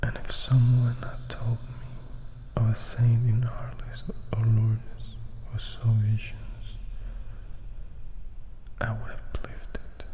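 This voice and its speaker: A man voicing a brooding, regretful vampire who recounts his past in a hushed, measured ASMR whisper. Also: mid-range voice, completely flat delivery, muffled recording, natural voice. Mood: reflective, insistent. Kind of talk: whispered ASMR audiobook acting.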